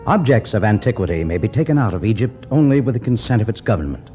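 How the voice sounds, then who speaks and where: A man narrating a 1950s radio adventure serial in a measured, dramatic style. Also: fairly low pitch, big pitch swings. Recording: muffled 1951 radio recording with music.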